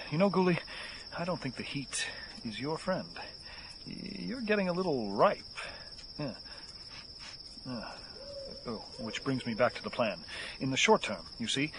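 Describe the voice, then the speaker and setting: A man's voice: medium pitch, noisy background. Man acting a weary, desperate, rambling fugitive. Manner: halting, theatrical, sardonic musing, talking to a silent companion. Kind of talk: audio horror drama monologue.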